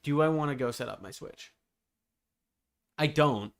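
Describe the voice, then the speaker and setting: A man's voice: on the high side, measured pace. A man doing casual live game-stream commentary, muttering to himself and repeating his phrases. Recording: noticeable background noise.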